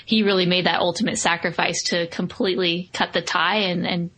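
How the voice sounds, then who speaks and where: A woman's voice: on the low side, level tone, a little fast, a bit bright. A woman co-hosting a podcast, talking through a film review in a casual, conversational way. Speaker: podcast co-host.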